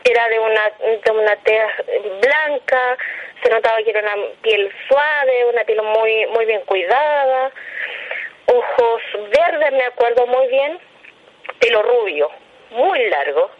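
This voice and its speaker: A woman's voice: fairly high pitch, animated, a little slow. A Chilean woman recounting a personal experience in an interview. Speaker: interviewed hospital night-shift worker. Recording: dull, lo-fi recorded interview.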